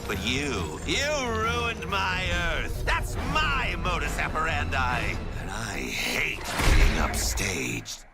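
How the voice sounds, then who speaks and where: An actor voicing a manic, gleefully sadistic clown villain in a video game, theatrical and mock-sentimental, swooping and prone to laughter. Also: male voice, fairly high pitch, wildly swooping pitch, takes it slowly, gravelly, hardly any pauses, over loud music, full character voice. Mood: manic glee, menace. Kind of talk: video game cutscene voice acting.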